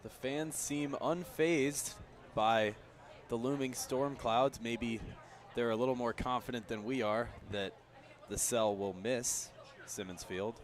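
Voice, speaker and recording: An American man giving conversational, unhurried color commentary on a live baseball radio broadcast. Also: mid-range voice. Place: outdoor ballpark broadcast.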